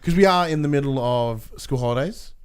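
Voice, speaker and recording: A young Australian man co-hosting a podcast, chatting casually in a halting, rambling way. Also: medium pitch, a little mellow, clear, resonant tone. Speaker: podcast co-host. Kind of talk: casual chat.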